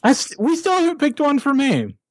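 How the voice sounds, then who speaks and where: A man's voice: extremely high pitch, a little fast, crowd noise. A young man hosting a casual podcast chat, laughing often as he talks. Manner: laughing, animated. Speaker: podcast host.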